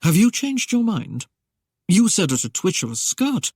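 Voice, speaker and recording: Man voicing a roguish young man, teasing, mock-innocent, slightly husky, dry close read. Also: high-pitched, big pitch swings, unhurried, darkish tone, no room sound, pitched above the performer's own voice, heavily acted. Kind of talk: audiobook character voice.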